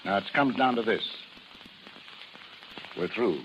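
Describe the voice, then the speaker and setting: An actor playing a gruff, bossy carnival barker and stern father with slangy, commanding American speech, in 1930s radio style. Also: fairly low pitch, takes it slowly, a bit bright. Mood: uneasy, firm. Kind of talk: 1930s radio drama acting. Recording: noisy, hissy 1930s radio recording.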